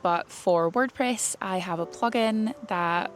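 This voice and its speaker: A woman's voice: medium pitch, slow pace, slight background noise. A woman presenting an informal instructional explainer in a plain-spoken, explanatory way. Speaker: presenter of a website tutorial series for writers.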